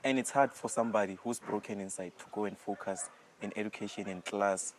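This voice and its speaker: A man's voice: lowish voice, a little fast, a little hiss or hum, low-quality recording. A man in his early twenties with a South African accent, giving a personal testimony as a volunteer in an interview style. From rural South Africa. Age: early 20s. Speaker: youth retreat volunteer.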